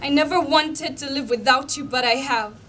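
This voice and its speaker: A woman's voice: sits high, moderate pace, a bit bright, slight background noise. A woman playing a grieving daughter in an intimate, plaintive stage monologue, speaking to her lost mother. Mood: grieving, pleading. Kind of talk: stage play rehearsal monologue.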